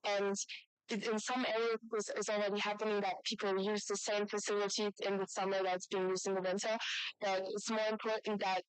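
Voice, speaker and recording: Clear-voiced woman in a formal debate. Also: medium pitch, dry recording, rough recording. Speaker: youth parliament participant. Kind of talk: formal youth parliament debate.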